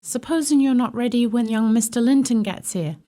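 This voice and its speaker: A woman's voice: on the high side, a little fast, mellow, close to an everyday voice. A woman playing a blunt, reproving household servant in a period audio drama. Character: frank, scolding female household servant.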